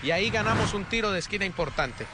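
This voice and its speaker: A man's voice: high voice, animated, fast talker, over music. A man from Colombia giving co-commentary on a youth football match, analytical and prone to restarts.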